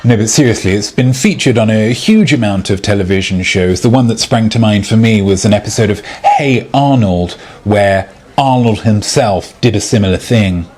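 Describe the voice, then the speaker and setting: A man playing a knowing, wordy date who calmly confronts his two-timer, explaining at length in a comedic dramatization. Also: lowish voice, normal speed, hardly any pauses, background noise, lightly acted. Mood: matter-of-fact. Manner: explains at length in orderly detail. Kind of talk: acted comedic date dialogue.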